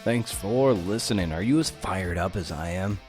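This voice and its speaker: A man with a fairly low voice hosts a podcast. He speaks in an encouraging, conversational way, pausing now and then as he goes. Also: animated, a little mellow, soft background music. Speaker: podcast host. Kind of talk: conversational interview and podcast outro.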